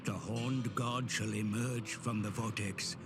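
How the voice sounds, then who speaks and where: A man voicing a grim, ominous narrator of dark fantasy game cutscenes, gravelly and theatrical, with breathy phrase endings. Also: fairly low pitch, fairly even intonation, noisy background. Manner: slow, theatrical, portentous. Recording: loud music under the voice.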